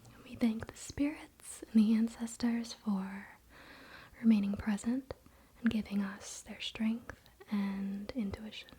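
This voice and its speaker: Slow whispering young woman, ASMR. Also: medium pitch, flat delivery. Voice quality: breathy whisper. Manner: casual, gentle, unhurried. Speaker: ASMR host. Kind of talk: whispered ASMR spiritual role-play. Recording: faint background noise, soft clicks.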